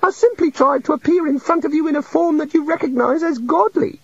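A man's voice: extremely high pitch, a little fast, vocal fry, no room sound, lo-fi sound, voice pushed up. A man voicing a meek, gloomy male island god with a sad, fussy manner, in an audiobook reading. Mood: musing.

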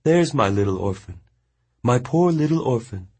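Audiobook: male narrator as tough older woman, husky, pitched up, firm but mothering. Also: expressive, a little slow, warm tone, exaggerated voice. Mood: soothing. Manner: commanding then soothing. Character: fierce older woman, commanding yet mothering.

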